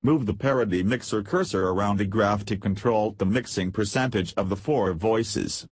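A man reading scripted software tutorial narration in a measured, step-by-step way. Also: fairly low pitch, breathy edge, no room sound.

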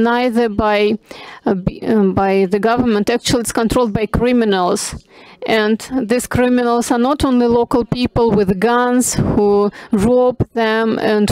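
A woman giving simultaneous interpretation of a panel discussion, halting, with many pauses and restarts. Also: fairly high pitch, darkish tone, smooth, no room sound, poor audio. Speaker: conference interpreter.

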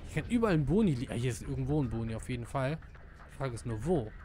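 A man giving casual live gameplay commentary, excitable and slangy, dropping in English gamer words. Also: mid-range voice, racing. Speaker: let's player.

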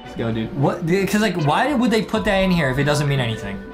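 A man in his twenties doing excitable gameplay commentary, repeating phrases and addressing the viewers as he hosts. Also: a little high, quick pace. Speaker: gaming video host. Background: music.

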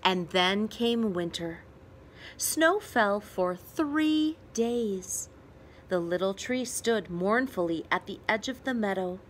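Slow, very expressive woman reading a storybook. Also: medium pitch, a little hiss or hum, rough recording. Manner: expressive, dramatic pauses and emphasis. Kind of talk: children's picture book read-aloud.

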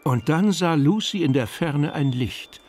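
A man's voice: on the high side, animated, unhurried, a little mellow. A man narrating a children's fantasy radio play as a storyteller. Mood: calm storytelling. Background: music.

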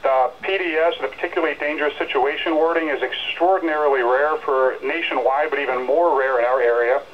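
A male meteorologist speaks in a slightly high voice, explaining and cautioning in an earnest way. He sounds like a live briefing, coming muffled out of a weather radio speaker. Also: steady tone, brisk, a little hiss or hum. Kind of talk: live, semi-scripted severe weather briefing. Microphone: radio speaker re-recorded by a camera in a room.

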